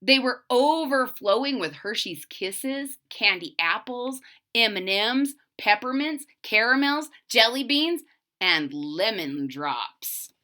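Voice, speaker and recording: A woman reading a picture book aloud to children in a dramatic way, pausing to put questions to young listeners. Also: on the high side, animated, bright, forceful tone.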